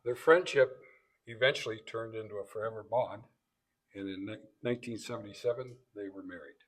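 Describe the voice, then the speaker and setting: A man introducing guests in a banquet speech, delivering it phrase by phrase in a halting way. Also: fairly low pitch, rough recording. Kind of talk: banquet introduction speech, read from notes with asides. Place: banquet event room.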